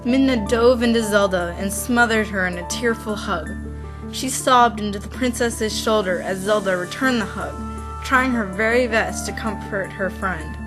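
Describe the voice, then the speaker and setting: A woman narrates a dramatic story from a script. Her voice sits at an average pitch, with loud music running underneath. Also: moderate pace.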